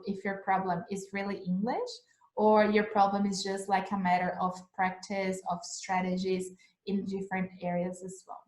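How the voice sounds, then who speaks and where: A young Brazilian woman whose first language is Portuguese, giving a relaxed English lesson over a phone livestream. She talks in a chatty way, checking in with "right?" and "like", at a middle pitch. Her voice carries a roomy echo. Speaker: English teacher.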